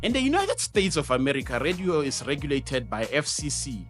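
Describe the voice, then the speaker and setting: Animated South African male presenter, music behind. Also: on the high side, fairly quick. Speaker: host of an educational show on radio broadcasting. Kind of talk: scripted explainer narration with conversational asides.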